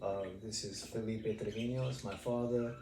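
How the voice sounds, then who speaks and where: A man's voice: lowish voice, steady tone, moderate pace. Young man asking questions from across a room. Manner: brief, plain questions. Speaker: student interviewer. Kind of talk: casual recorded interview. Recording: distant mic in an echoey room with noise.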